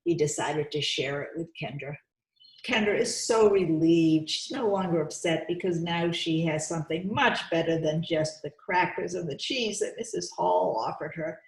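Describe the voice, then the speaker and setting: A woman reading a storybook aloud to young children, expressive and coaxing, stopping to put questions to her listeners. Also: on the low side, normal speed. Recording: roomy, echoey sound.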